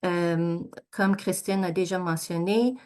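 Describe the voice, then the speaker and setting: A woman researcher giving an academic presentation, talking through slides in a measured way with frequent hesitations and self-corrections. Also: lowish voice, fairly even intonation, darkish tone. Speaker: researcher presenting study results.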